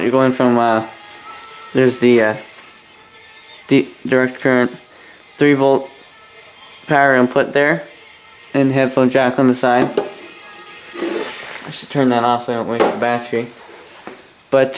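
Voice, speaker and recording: A man's voice: medium pitch, flat delivery, measured pace, pauses often, soft background music, low-quality recording. A Canadian man giving a casual, rambling hobbyist product review, with grumbling asides and mild swearing.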